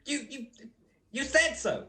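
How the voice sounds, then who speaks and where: A woman's voice: sits high, sing-song, takes it slowly, bright, forceful tone, faint music behind, heavily acted. A woman playing a nervous male stool pigeon in a radio crime drama, stammering, slangy and pleading. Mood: nervous, evasive. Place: recorded at home over a video call.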